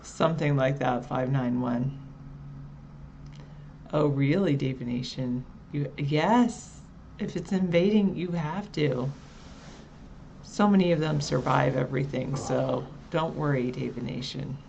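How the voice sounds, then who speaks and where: A woman co-hosting a casual live chat show, relaxed and chatty, answering viewers by their usernames. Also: a bass voice, a little slow, gravelly, slight background noise.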